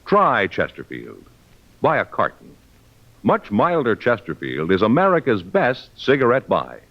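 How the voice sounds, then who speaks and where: Very animated vintage radio ad man. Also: fairly low pitch, unhurried. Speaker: commercial announcer. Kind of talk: 1950s radio cigarette ad read. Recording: muffled old radio broadcast.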